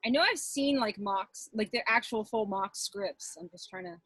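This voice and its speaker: A woman chats casually over a video call. Her voice is high and bright with a raspy edge, and it moves around in an animated way. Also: normal speed, no room sound. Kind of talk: casual chat.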